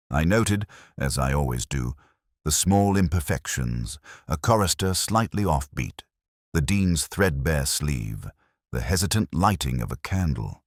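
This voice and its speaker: A man playing a melancholy middle-aged English police detective, narrating in a measured, literary and wistful way as if from a notebook. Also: extremely low pitch, dry recording, studio quality, heavily acted. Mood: reflective. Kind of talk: scripted first-person dramatic narration.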